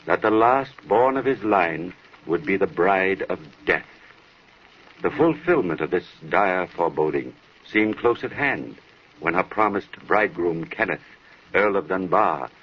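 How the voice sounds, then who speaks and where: An actor playing a grave, ominous narrator of a gothic horror tale on old-time radio, deliberate and portentous. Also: male voice, low voice, husky. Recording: muffled, hissy old radio broadcast.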